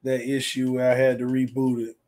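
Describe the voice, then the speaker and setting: A man hosting a casual livestream from his room at home while setting up a game, talking quietly because he is losing his voice. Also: medium pitch, monotone, brisk. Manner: talks quietly to spare his voice, reads chat aloud. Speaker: sports channel host. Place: small home room full of fan decor. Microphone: desk mic on stand.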